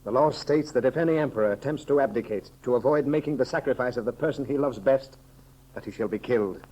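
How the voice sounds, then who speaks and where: An actor playing a grave, loyal counselor with solemn, formal, declamatory delivery in a 1930s radio serial. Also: male voice, mid-range voice, quick pace, mellow, lightly acted. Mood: grave, insistent. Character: grave loyal counselor, stern yet devoted male friend. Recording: muffled old 1930s radio recording.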